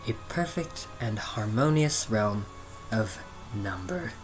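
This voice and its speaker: A man's voice: lowish voice, lively intonation, takes it slowly, low-quality recording. A man narrating a comic book dramatically, with theatrical, emphatic phrasing. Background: background music.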